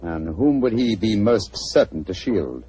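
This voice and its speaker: An actor playing a calm, incisive detective in a 1940s radio drama, measured and precise. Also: male voice, on the low side, husky, exaggerated voice. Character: calm, incisive detective, middle-aged man. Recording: muffled old radio recording.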